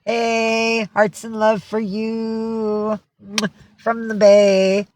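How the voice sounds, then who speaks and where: A woman's voice: fairly high pitch, flat delivery, very slow, a little mellow, no room sound. A woman hosting a casual livestream from home, talking in a rambling, blunt and sweary way. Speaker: livestream host. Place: indoors at home with cats.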